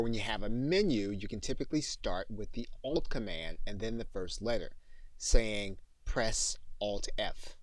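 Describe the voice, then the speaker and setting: A man narrating an instructional software tutorial in an explanatory way, setting command phrases apart as he goes. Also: lowish voice, no echo.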